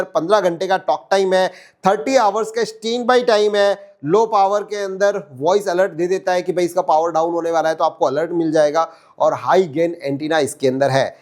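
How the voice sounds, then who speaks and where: A man's voice: sits high, darkish tone. A man with an Indian accent giving a casual, explanatory product demo as a reviewer, mixing in English terms. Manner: conversational, explanatory, Hindi mixed with English terms.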